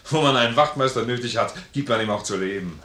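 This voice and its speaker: An actor playing a loyal, plain-spoken, stubbornly generous sergeant in a 1950s German radio play, hearty and earnest. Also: male voice, medium pitch, fast talker, pushed and bright, close to an everyday voice. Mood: earnest, insistent. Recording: roomy vintage radio studio recording.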